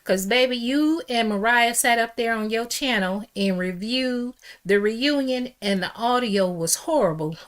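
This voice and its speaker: Middle-aged woman, loud strong voice pitched a touch high, sassy casual commentary with emphatic asides. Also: unhurried, hardly any pauses. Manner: sassy, calls listener "baby", emphatic asides. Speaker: pop culture commentator. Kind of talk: casual opinion monologue.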